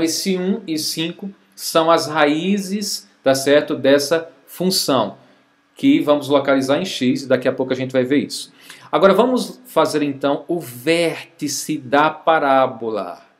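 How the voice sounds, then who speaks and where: A Brazilian man teaching a recorded math review lesson, explaining step by step and checking in often with the class. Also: a little high. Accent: Brazilian Portuguese. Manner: slow, patient explaining with frequent check-ins.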